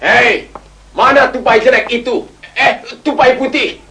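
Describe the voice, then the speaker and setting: A woman voicing a hot-tempered male palace minister in a storybook tale, gruff and blustering. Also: on the high side, unhurried, fairly bright tone, full character voice. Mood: eager. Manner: gruff, blustering, then humbled.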